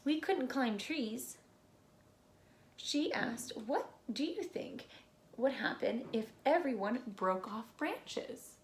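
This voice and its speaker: Female storybook reader, expressive and deliberate, unhurried delivery, echoey recording with faint background noise. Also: fairly high pitch. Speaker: read-aloud presenter. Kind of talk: expressive children's storybook read-aloud.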